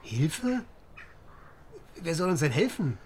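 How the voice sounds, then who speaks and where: An actor playing a cynical, sweary East German lieutenant and helicopter pilot in a naturalistic radio crime drama, agitated and sarcastic. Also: male voice, a little high, sing-song, slow, slight background noise. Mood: sarcastic, exasperated.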